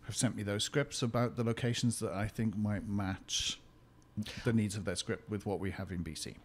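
A Welsh man with a fairly low voice explains his work steadily and at length, as an interview guest. A faint hiss of noise sits behind him in a small room. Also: steady tone, normal speed. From Wales. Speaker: location consultant. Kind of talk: interview answers.

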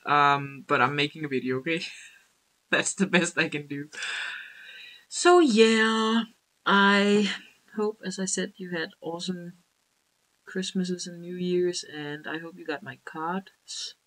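A woman's voice: lowish voice, a bit bright, poor audio. A young Danish woman vlogging casually to a webcam in her bedroom, rambling and laughing at herself, speaking English as a second language. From Denmark. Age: young adult. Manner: rambling, self-deprecating, giggly, gestures a lot. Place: small bedroom indoors. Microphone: built-in webcam mic.

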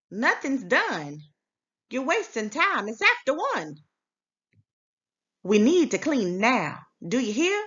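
A frazzled parent played by a woman gives orders in a children's storybook. The voice is fairly high and very animated, with big emphatic swoops. Each command comes out slowly and deliberately. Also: muffled recording, full character voice. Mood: exasperated, commanding. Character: frazzled, bossy parent rallying the family to clean. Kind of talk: children's storybook read-aloud.

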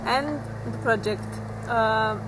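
Brief: young woman from Romania, very slow and playful, higher voice, clear outdoor noise. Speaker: volunteer. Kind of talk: casual interview answers. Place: outdoors by a brick wall.

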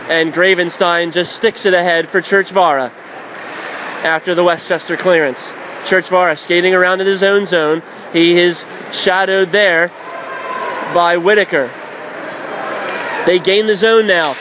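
A man calling hockey play-by-play on radio, rising to shouts when a goal goes in. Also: high voice, background noise. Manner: rapid, shouting up on goals.

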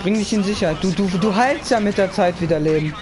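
A man's voice: high-pitched, fast. Young man swearing through a game stream. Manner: slangy, swearing. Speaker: gamer hosting a stream. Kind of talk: casual gaming chat with friends. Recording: game music behind the voice.

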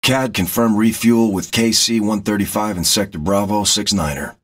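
Man as low, husky plane captain. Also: natural voice. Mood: calm, procedural. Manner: measured, laconic. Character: calm male military reconnaissance plane captain. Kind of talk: procedural cockpit radio calls, game acting.

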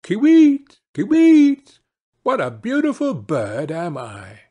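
A man voicing a proud magical bird in a fairy tale, chanting in a sing-song way with drawn-out calls. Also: sits high, wildly swooping pitch, mellow, voice pushed up, heavily acted. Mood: plaintive, proud. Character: proud, magical singing bird, bargaining and bright.

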